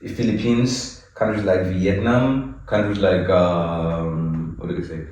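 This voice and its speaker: A man's voice: sits low, steady tone, unhurried, a little mellow, a little hiss or hum. A man in casual chat, rambling and searching aloud for his words. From Zimbabwe. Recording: echoey room, mic far off.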